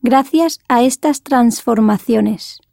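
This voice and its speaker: Female student voice, careful, gravelly and mellow. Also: a little high, measured pace, dry recording, studio quality. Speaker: student speaker. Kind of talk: reading practice answers.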